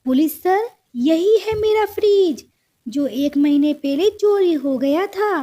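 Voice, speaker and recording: An accusing female crow in a cartoon, played by a woman. Her voice is high and soft-toned, and she speaks with slow insistence. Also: animated, heavily acted. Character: accusing female crow who was robbed. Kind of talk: cartoon story dialogue.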